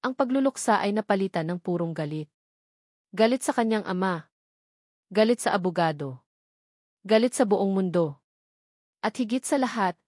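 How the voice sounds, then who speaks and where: A woman's voice: mid-range voice, a little mellow, dry recording, clean studio sound. A woman narrating a scripted romantic drama as an audiobook, with dramatic, emphatic phrasing.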